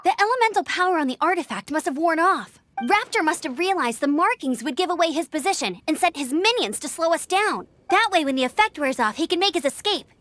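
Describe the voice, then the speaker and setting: A woman voicing an upbeat, confident young female mentor in a video game, with a bright, youthful, eager delivery. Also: extremely high pitch, animated, a little fast, few pauses. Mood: determined. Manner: peppy, eager, emphatic. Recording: music behind the voice.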